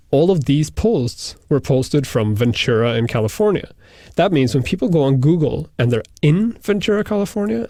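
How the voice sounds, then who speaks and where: Man explaining software settings, dry sound. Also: medium pitch, dry recording. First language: Norwegian. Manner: explanatory, walks through settings step by step. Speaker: software tutorial instructor. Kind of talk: screen-recorded software tutorial.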